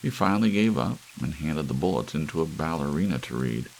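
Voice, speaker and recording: A man's voice: a bass voice, expressive, a little fast, creaky, low-quality recording. A man narrating an audiobook story in an ASMR style. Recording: faint hiss, soft rain behind. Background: soft rain.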